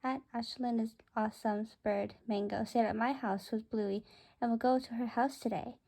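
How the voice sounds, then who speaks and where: A woman narrates a children's story in the first person. Her voice sits fairly high, with a very mellow, rounded tone. She reads it out as a storyteller. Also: steady tone, normal speed, vocal fry. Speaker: narrator reading a story aloud.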